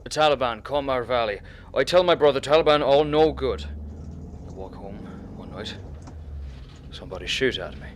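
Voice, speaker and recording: An actor playing a guarded Afghan immigrant cab driver in a radio drama, speaking hesitant, terse English with an Afghan accent. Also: male voice, mid-range voice, animated, moderate pace, a little hiss or hum, natural voice. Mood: quiet, matter-of-fact. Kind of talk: naturalistic radio drama acting.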